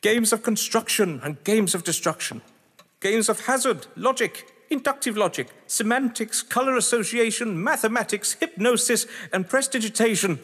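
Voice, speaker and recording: A man's voice: high-pitched, animated, moderate pace, fairly bright tone, husky, heavily acted. A man acting in an audio drama as a haughty, games-obsessed writer, eloquent, grandiose and sardonic. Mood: haughty, boastful. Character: haughty, theatrical games-obsessed male writer, defensive under suspicion. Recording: long echoing hall reverb.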